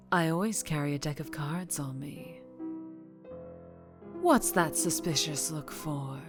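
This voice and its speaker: A woman acting as a dangerously charming mafia boss in an ASMR roleplay, teasing and softly threatening, unhurried, slipping into whispers. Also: mid-range voice. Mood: playful, coaxing.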